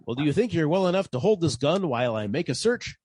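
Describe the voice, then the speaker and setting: A man's voice: fairly high pitch, very animated, quick pace, darkish tone, husky, no room sound. A man playing a wry, wisecracking 1940s private detective, narrating his case in old-time radio style. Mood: sardonic. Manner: dry, wisecracking.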